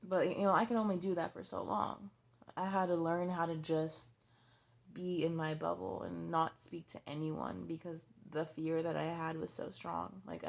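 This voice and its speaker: Twenty-something woman vlogging, low voice, slow, keeps restarting, swears a lot. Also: gravelly, darkish tone. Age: 20s. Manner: halting, hesitant, with many restarts and fillers; blunt and candid, swearing freely. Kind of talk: personal confessional vlog.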